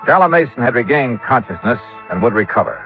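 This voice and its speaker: A man's voice: medium pitch, very animated, a little fast. An American man playing a wry, self-assured insurance investigator in a 1950s radio drama, with a clipped, hard-boiled delivery. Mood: brisk narrating. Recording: tinny vintage radio recording with faint music.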